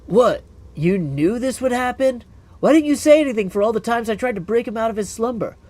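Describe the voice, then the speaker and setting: A man's voice: very high voice, lively intonation, brisk, darkish tone, voice pushed up. A man voicing an earnest young woman, a caring but forthright witch in a video game, speaking emphatically. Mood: indignant. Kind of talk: video game character acting.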